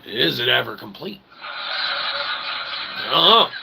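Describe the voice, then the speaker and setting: A man's voice: mid-range voice, big pitch swings, a little slow, vocal fry, pushed and bright, breathy edge, low-quality recording. A man hosting a show in a rambling, jokey, theatrical way with mock-formal wordy phrasing. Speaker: show host and author. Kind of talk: rambling show sign-off addressed to audience.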